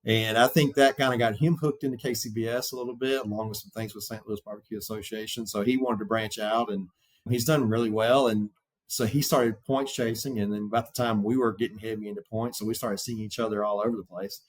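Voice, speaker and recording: A man's voice: on the low side, fairly even intonation, a little fast, low-quality recording. A man who competes in barbecue, a podcast guest telling a long, rambling story in a casual way. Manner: talkative, rambling anecdotes.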